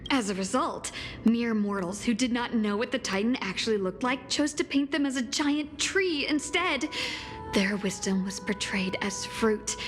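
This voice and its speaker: A woman playing a high-voiced, composed female scholar. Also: moderate pace, natural voice. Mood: composed, admiring. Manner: formal, expository. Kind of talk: dramatic video game voice acting. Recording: reverberant hall with faint music.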